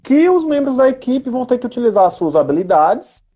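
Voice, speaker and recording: A man's voice: very high-pitched, big pitch swings, fairly quick, mellow, faint music behind. A man chats casually and didactically as a guest educator, often checking in with short tag questions. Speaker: guest educator answering questions on role-playing games. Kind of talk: casual explanatory live chat.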